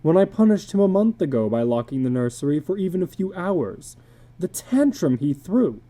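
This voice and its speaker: A woman's voice: lowish voice, big pitch swings, normal speed, warm tone. A woman voicing a reassuring husband and father in a dramatic story reading, soothing and reasoning. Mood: placating, reluctant.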